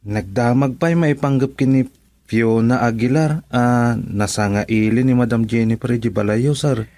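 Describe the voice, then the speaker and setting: A respectful Filipino employee acted by a man in a radio drama. His voice is mid-pitched and deferential, recorded dry as he addresses his superior. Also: normal speed, a little mellow, no echo, close to an everyday voice. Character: deferential male subordinate reporting to his boss. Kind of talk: Ilocano radio drama acting.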